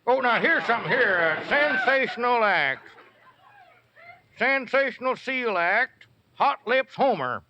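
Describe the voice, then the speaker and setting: An actor playing a pompous, smooth-talking small-town squire and swindler in an old-time radio comedy, wheedling and wordy. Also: male voice, very high voice, very animated, measured pace, exaggerated voice. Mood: grand salesmanship. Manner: wheedling, wordy, grandiose showman's patter. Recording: muffled old radio recording with hiss.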